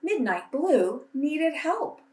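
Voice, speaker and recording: A woman reading aloud to children as a storyteller, with a deliberate, simple delivery. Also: high voice, very animated, mellow. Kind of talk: children's picture book read-aloud with casual introduction. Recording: roomy echo, distant microphone.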